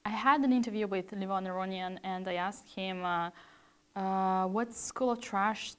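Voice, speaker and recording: A woman interviewer posing brisk, quick-fire questions to her guest. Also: mid-range voice, clear, resonant tone.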